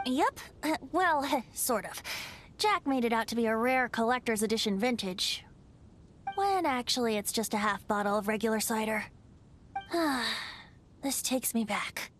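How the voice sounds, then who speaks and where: A woman's voice: fairly high pitch, expressive, unhurried, a bit bright. A woman voicing a playful, boyish wind god bard in a video game, ancient but youthful, with a lilting, theatrical delivery. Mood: wistful, reflective. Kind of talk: video game cutscene acting.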